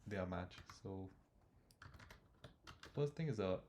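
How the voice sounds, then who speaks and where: A man with a lowish voice walks through code as he writes it, thinking aloud with fillers and restarts. Faint keyboard clicks sit underneath. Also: animated, slow pace, noisy background. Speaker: coding tutor. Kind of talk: screen-recorded coding walkthrough.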